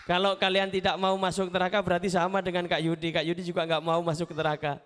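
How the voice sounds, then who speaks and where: A man giving an animated, interactive Islamic motivational talk to schoolchildren, asking questions and repeating phrases. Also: high-pitched, fast talker, a little hiss or hum. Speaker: children's storyteller and motivational speaker. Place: live event at a school before children.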